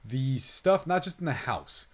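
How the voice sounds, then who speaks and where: A man's voice: mid-range voice, very animated, a little slow. A man co-hosting a podcast, giving opinionated, rambling film commentary in casual conversation. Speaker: podcast co-host and film reviewer. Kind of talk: casual movie review chat.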